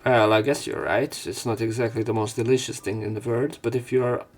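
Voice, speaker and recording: A man's voice: mid-range voice, flat delivery, a little fast. A man hosting a let's play, reading lines aloud and commenting casually with informal, wry asides. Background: game music.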